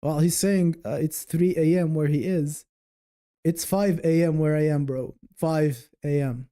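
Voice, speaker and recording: A man's voice: fairly high pitch, fairly even intonation, a little slow, mellow. A man hosting a casual livestream, rambling and thinking aloud as he chats with viewers. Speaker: livestream host.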